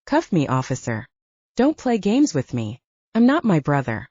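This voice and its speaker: A woman's voice: low-pitched, huge pitch swings, normal speed, dark tone, dry recording, lo-fi sound, exaggerated voice. A woman voicing a seductive, defiant female werewolf with a sultry, taunting manner in an audiobook.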